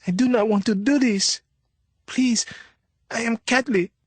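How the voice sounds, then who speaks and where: Man voicing a scared young Nigerian boy, higher-pitched. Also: a little slow, husky, no room sound, heavily acted. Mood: pleading, fearful. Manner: pleading, wary, simply phrased speech. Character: scared eleven-year-old Nigerian boy, wary gang lookout. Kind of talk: audiobook character dialogue.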